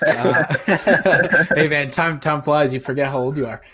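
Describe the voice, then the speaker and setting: A man in his twenties co-hosting a casual gaming podcast, upbeat and quick to laugh. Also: a little high, over a noisy crowd. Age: 20s. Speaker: podcast co-host. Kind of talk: casual chat.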